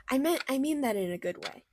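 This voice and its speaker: A woman voicing a bubbly, caring female cartoon character in a radio play, chatty, motherly and expressive. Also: sits high, moderate pace, a little hiss or hum. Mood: warm, encouraging. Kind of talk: fictional radio theater acting.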